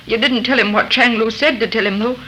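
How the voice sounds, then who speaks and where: An actress playing an anxious, loyal, principled frontier wife, emotional and pleading in an old radio melodrama. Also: female voice, on the high side, fairly even intonation, brisk, bright, forceful tone. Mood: worried. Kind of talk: 1930s radio melodrama acting. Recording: noisy 1930s radio recording.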